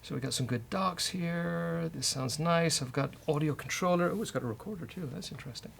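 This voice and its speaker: A man with an Irish accent hosting a casual live-coding demo, dry, teasing and halting. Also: a little high, gravelly. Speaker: host, software developer.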